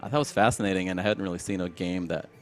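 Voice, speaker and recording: A man from Sri Lanka, a game developer, walking through a demo of his game at a live event in a halting, filler-heavy way. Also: sits low. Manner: halting, many fillers and restarts. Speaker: game developer, studio founder. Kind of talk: casual demo walkthrough.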